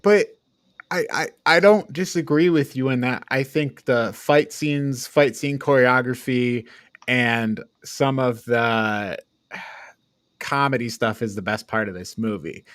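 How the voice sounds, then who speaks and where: A man with a medium-pitched voice reviews films casually as a podcast co-host. He is opinionated but halting, often hunting for the next word. The recording is close and dry, without room echo. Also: fairly bright tone.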